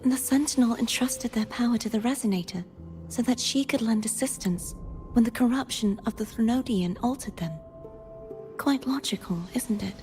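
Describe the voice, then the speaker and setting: A woman playing a solemn, gentle young noblewoman in a video game, with soft, measured, formal phrasing. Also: on the high side, soft background music, natural voice. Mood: solemn, explaining.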